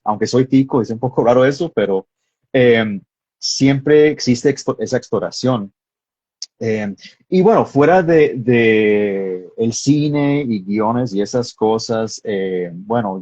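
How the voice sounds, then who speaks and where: A man's voice: mid-range voice, darkish tone, husky, no room sound, muffled recording. A man who grew up in Texas, with an American-tinged accent, chatting casually as an interview guest and often searching for his words. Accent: American-tinged Spanish, from Texas. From born in Costa Rica, grew up in Texas, USA. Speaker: guest filmmaker. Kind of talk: casual interview, personal storytelling.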